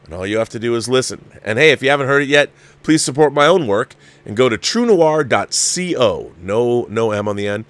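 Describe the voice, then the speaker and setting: A man's voice: mid-range voice, animated, a little fast, dry recording. A man hosting a film review livestream, chatting off the cuff to viewers in a rambling, emphatic way and often repeating his phrases. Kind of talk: casual off-the-cuff movie review chat to viewers.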